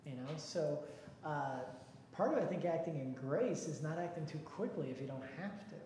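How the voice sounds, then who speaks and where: Chatty pastor in a booming hall. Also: male voice, normal speed, dark tone. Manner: folksy, self-deprecating, chatty. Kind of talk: leading a church discussion. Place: church sanctuary. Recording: echoey hall, faint noise.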